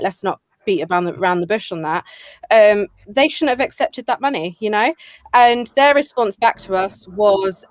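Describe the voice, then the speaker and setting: A woman, a community organiser and founder, speaking on a live-streamed panel with animated storytelling that jumps high when she acts out reactions, heard over a video call. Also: dry recording.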